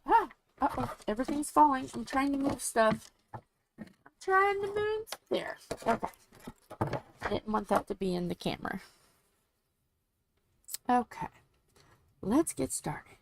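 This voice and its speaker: Young-sounding woman in her forties, slow and lilting. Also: high-pitched, very animated, vocal fry, background noise. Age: 40s. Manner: soft, sleepy-sounding delivery. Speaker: craft livestream host. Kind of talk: casual chat while crafting.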